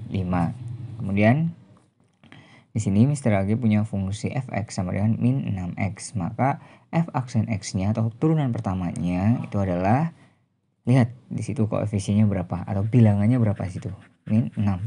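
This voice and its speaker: Bright-voiced male math teacher. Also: lowish voice, creaky, slightly rough. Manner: methodical, explanatory, pausing for emphasis. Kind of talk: step-by-step instructional lesson.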